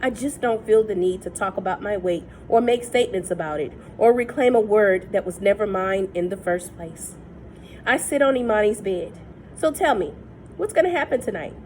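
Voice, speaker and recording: A woman reading a novel aloud in a steady, measured first-person voice. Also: mid-range voice, slight background noise. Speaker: reader.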